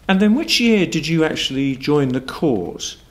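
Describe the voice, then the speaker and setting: Middle-aged guy interviewing someone, formal questions, pitch moves around a lot. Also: sing-song, unhurried, slight background noise. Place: wood-panelled room, indoors.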